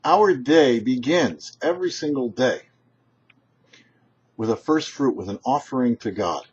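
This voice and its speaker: A very husky, rough-voiced man delivers a short religious lesson as a rabbi. His pitch moves up and down in a lively way, with deliberate, emphatic phrasing and dramatic pauses. The recording is slightly dull and muffled. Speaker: rabbi, show host. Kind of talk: short religious teaching talk.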